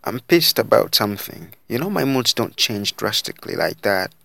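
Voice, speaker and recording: A man's voice: fairly low pitch, very animated, normal speed, gravelly, sharp tone, dry recording, heavily acted. A man voicing an angry, bitter young female student in a dramatic story reading. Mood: irritated, bitter.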